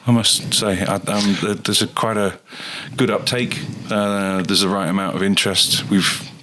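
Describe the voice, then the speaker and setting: A rugby coach talking casually as a guest, with many ums and pauses. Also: male voice, fairly low pitch, a little hiss or hum. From England. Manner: hesitant, many ums, relaxed. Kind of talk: casual interview chat.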